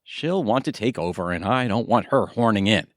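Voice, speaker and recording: A man acting in a comedic audio play as an excitable, scheming retired husband who bickers in a theatrical, exasperated way. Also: lowish voice, moderate pace, dry recording, clean studio sound, lightly acted. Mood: dreamy, excited.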